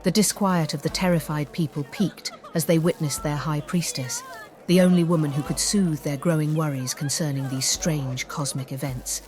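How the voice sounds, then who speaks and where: A woman narrating a scripted dark fantasy story in a dramatic, deliberate audiobook style. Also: low voice, steady tone. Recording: music bed behind the voice. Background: background music.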